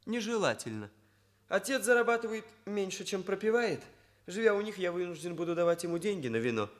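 Radio play role: self-improving young male hairdresser, high voice, animated pitch, bookish and proper. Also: brisk. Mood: earnest, formal. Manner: precise, bookish, formal phrasing. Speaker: radio drama actor. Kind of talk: Soviet radio play acting. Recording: radio play, violin music behind.

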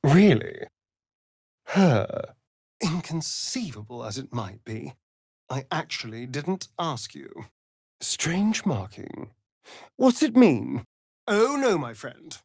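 A man's voice: fairly high pitch, very animated, takes it slowly, long pauses, a little mellow, breathy edge, dry recording, studio quality, exaggerated voice. A man playing a sardonic, vain vampire spawn in a fantasy video game, with an arch, theatrical drawl. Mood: sly, haughty.

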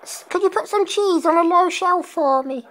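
A young man voicing a small mouse pleading for cheese on a prank phone call, slow and repetitive. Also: extremely high pitch, fairly bright tone. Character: tiny squeaky mouse begging for cheese. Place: living room on a leather sofa, indoors.

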